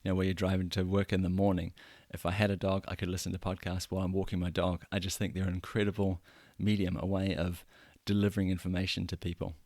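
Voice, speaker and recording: A man in his thirties with a New Zealand accent hosts his own podcast, speaking alone into a close mic. His voice sits very low, and the sound is dry with no room around it. He talks in an earnest, self-deprecating way, often restarting his phrases. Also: little pitch movement, fast talker, hardly any pauses, a little mellow, no echo. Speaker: podcast host. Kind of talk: solo podcast monologue.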